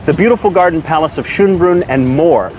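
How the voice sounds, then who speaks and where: A man's voice: on the high side, a little fast, a little mellow, a little hiss or hum. A man hosting a travel show, giving upbeat, scripted narration over a music bed.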